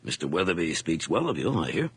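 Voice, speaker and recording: An actor playing a calm, measured police officer asking probing questions in a radio drama. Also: male voice, low-pitched, slightly rough, no echo, full character voice.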